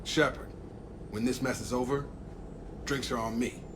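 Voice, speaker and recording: An actor playing a loyal, easygoing soldier in a video game, speaking casually like an old comrade. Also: male voice, mid-range voice, fairly even intonation, a little slow, lightly acted. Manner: casual, familiar. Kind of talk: video game cutscene acting. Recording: clear ambient noise behind.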